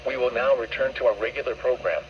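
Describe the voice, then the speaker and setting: A computer-made male voice reading an automated weather broadcast in a flat, measured, word-by-word way. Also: mid-range voice. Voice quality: even, synthetic text-to-speech delivery. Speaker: weather radio announcer. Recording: dull, hissy radio receiver recording.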